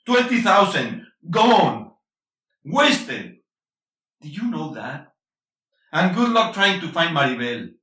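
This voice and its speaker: A man's voice: on the high side, very animated, slow pace, pauses often, sharp tone, exaggerated voice. A man acting a bitter, angry middle-aged father and husband in a stage-play reading, confrontational and emphatic, slipping between English and Spanish. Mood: accusing, exasperated. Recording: echoey room, distant mic.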